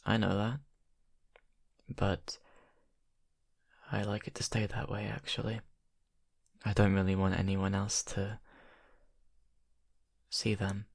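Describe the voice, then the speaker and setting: Low, gravelly brooding young vampire played by a man. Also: darkish tone. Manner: hesitant, halting, confiding, intimate. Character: brooding young male vampire confessing to best friend. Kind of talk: ASMR audio roleplay.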